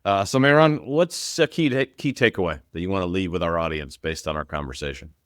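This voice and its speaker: A man's voice: low-pitched, lively intonation, brisk, fairly bright tone, no room sound, clean studio sound. A man hosting a podcast in a casual, conversational style. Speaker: podcast host.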